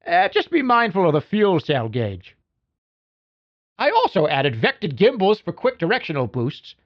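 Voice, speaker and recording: A man's voice: high-pitched, huge pitch swings, moderate pace, dull audio, full character voice. A man playing a cocky, folksy video game mechanic who briefs a pilot with slangy wisecracks. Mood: upbeat, wisecracking. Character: cocky, folksy spaceship mechanic who babies his mech suit. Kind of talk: video game character voicelines.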